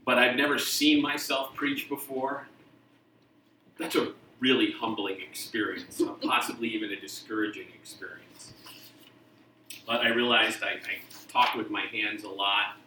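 Texas-born pastor preaching in a roomy church. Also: male voice, fairly low pitch, fairly even intonation, measured pace, bright, forceful tone, far from the mic, a little hiss or hum. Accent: mostly faded Texas. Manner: conversational, self-deprecating humor. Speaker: guest pastor. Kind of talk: sermon. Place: church sanctuary. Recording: roomy church sound from off-mic.

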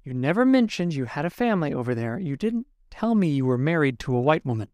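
A woman's voice: low voice, sing-song, fast talker, dark tone, dry recording. Woman voicing a betrayed, indignant young wife. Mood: hurt. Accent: Nigerian. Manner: accusing, indignant.

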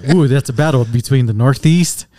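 A man's voice: medium pitch, brisk, a crowd in the background. A man co-hosting a podcast, chatting and bantering casually and putting questions to a guest. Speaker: podcast producer and co-host.

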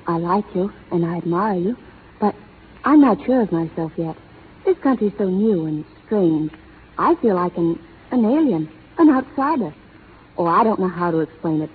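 A woman's voice: fairly low pitch, lively intonation, normal speed, husky. A woman acting a proud, independent young frontier schoolteacher in a 1930s radio drama, speaking in a proper, theatrical manner. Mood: hesitant, earnest. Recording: narrow, fuzzy 1930s radio broadcast.

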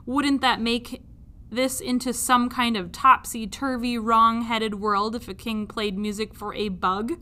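A woman's voice: fairly high pitch, steady tone, measured pace, pushed and bright. A woman voicing a stubborn, pompous father king in a storybook, speaking emphatically. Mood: indignant, pompous. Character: stubborn, pompous father king who likes being right.

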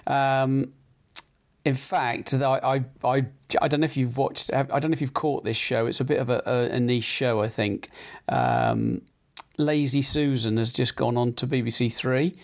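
A middle-aged man with a croaky throat, hosting a casual chat show, rambling with many fillers and restarts. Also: medium pitch, fairly even intonation, unhurried.

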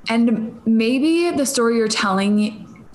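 A woman's voice: on the high side, expressive, slow pace, darkish tone. A woman in her thirties from Minnesota, with a Minnesotan accent, hosting a solo podcast in a rambling, stream-of-consciousness way with laughing asides. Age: 30s. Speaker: podcast host. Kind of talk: casual solo podcast monologue.

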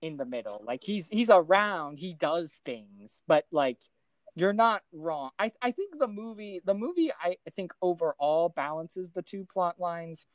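A man co-hosting a podcast, discussing films casually in a halting, conversational way. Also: sits high, lively intonation, no echo. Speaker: podcast co-host.

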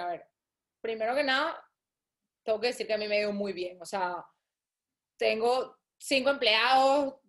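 A woman from Venezuela speaks with a high voice in a casual interview. She tells lively stories and acts out what other people say. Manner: lively, acts out quoted people, laughs often. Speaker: guest, shoe designer.

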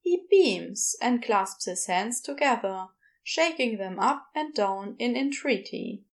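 A woman's voice: on the high side, expressive, slow. A woman narrating scripted fiction as an audiobook storyteller.

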